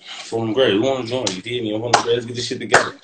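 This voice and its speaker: A man's voice: medium pitch, little pitch movement, quick pace. Young man bantering over noisy livestream. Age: young adult. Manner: teasing, slangy. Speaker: host of a social media livestream. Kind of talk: casual slangy banter with live viewers and callers. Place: home kitchen, indoors. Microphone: phone at close range. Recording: noisy phone livestream with clicks.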